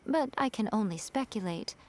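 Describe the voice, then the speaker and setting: A woman's voice: fairly high pitch, animated, moderate pace, natural voice. A woman playing a sly, teasing and elegant fox familiar in a video game, with a haughty, drawling delivery. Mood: composed, explaining. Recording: music under the voice.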